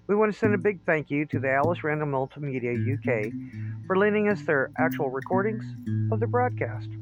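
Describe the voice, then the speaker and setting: A man announcing scripted credits for an audio drama over guitar music, in a slightly lo-fi recording. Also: fairly high pitch. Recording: slightly dull, lo-fi sound.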